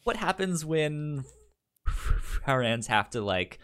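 A man co-hosting a podcast in casual, unscripted chat, with a stutter that repeats first syllables and a halting, explanatory way of talking. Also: a little high, big pitch swings, fairly bright tone, clear, resonant tone. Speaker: podcast co-host.

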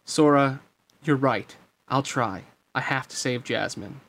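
A man's voice: mid-range voice, slow, a bit bright, slight background noise. A man voicing an impassioned young street-rat hero, urgent and earnest, in a storybook reading. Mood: resolved.

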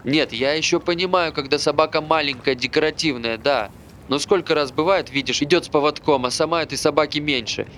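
A man's voice: a little high, fairly quick, a bit bright, slight background noise, lightly acted. An actor playing a bitter, aggrieved man who hates dogs, grumbling conversationally in a naturalistic stage play. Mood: grumbling, irritated.

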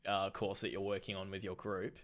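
A man's voice: low-pitched, flat delivery, a little fast, a bit bright. A man hosting a business podcast, speaking as an interviewer. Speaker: podcast host, marketing consultant. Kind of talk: podcast introduction and conversational interview.

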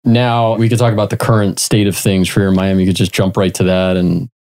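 A man with a somewhat low voice co-hosts a podcast in a relaxed, joking manner. He drifts into shared memories and then steers the talk back to his questions. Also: fast, clear, resonant tone. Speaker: podcast co-host. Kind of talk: casual podcast chat.

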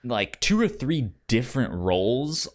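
A man's voice: medium pitch, very animated. A man co-hosting a film podcast in casual chat, stuttering over sounds and words and acting out lines he paraphrases. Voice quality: stutter, repeats sounds and words many times. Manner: halting, acts out paraphrased lines. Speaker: film review podcast co-host.

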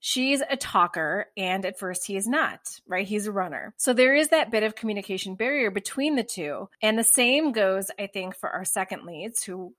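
A woman co-hosting a podcast, giving a thoughtful, measured opinion in a casual discussion and lingering on key words. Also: medium pitch, expressive, fairly bright tone. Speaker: podcast co-host.

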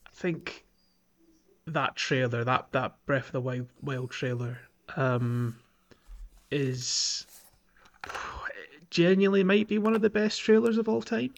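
A man hosting a podcast in casual conversation, thinking aloud in a halting way. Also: a little high, vocal fry. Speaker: podcast host.

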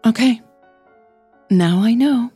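A woman's voice: fairly high pitch, slow pace, dark tone, husky, no room sound, pitched above the performer's own voice. Lady doing angry woman in audio drama, curt n edgy, clipped lines, faint music behind. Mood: curt, tense. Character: Millie, angry, tense young woman singer lashing out.

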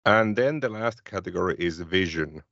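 A Finnish man co-hosting a tech podcast, his voice very low and even. He explains things in a relaxed, conversational way. The sound is dry and a little dull. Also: normal speed, creaky, dry recording. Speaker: podcast co-host. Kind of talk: casual tech chat.

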